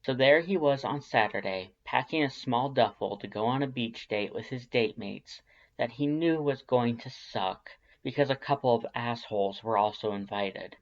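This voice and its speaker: A man's voice: medium pitch, moderate pace, muffled recording. A man reading scripted fan fiction narration as a storyteller.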